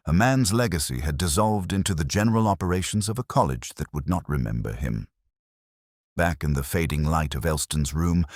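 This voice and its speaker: Male actor as brooding detective inspector, extremely low voice, expressive intonation, measured literary storytelling, observant. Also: no echo, studio quality, exaggerated voice. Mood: grave, reflective. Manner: measured, literary, reflective delivery with deliberate pauses. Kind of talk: scripted first-person detective story narration.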